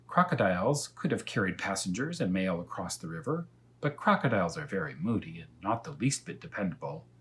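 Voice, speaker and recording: A talkative female storybook cat read aloud by a man unfolds a tale for children. The delivery is earnest and unhurried, with very animated pitch that leans on key words. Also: a little hiss or hum.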